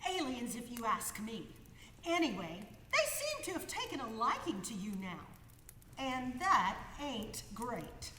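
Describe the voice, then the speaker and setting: A woman's voice: on the high side, big pitch swings, measured pace, pushed and bright, full character voice. An actress playing a brash, good-humored 1930s woman aviator with breezy, slangy talk in a radio play. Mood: wry warning. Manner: breezy slangy talk, calls people kiddo. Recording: echoey hall, faint background noise.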